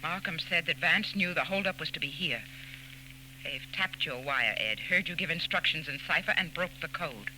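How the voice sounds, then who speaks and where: A calculating society lady of crime in a 1940s radio drama. Her voice is piercingly bright and imperious, with composed, condescending delivery. The old recording has a light hum. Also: low-pitched, moderate pace, low-quality recording. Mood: cool, reproachful. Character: cool, calculating society woman secretly running robberies.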